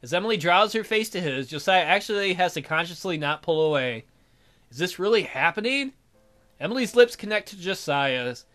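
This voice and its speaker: A man's voice: sits high, lively intonation, moderate pace, pushed and bright, faint music behind. A man hosting a let's play, reading a visual novel aloud and breaking off to add irreverent, amused comments.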